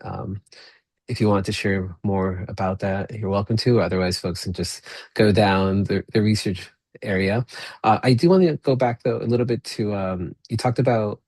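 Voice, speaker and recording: A man from Peru whose first language is Spanish, hosting a podcast interview. He talks in a reflective, halting way, restarting phrases and paraphrasing his guest back to her. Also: low voice, fairly even intonation, darkish tone, dry recording. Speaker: podcast host. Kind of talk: conversational interview.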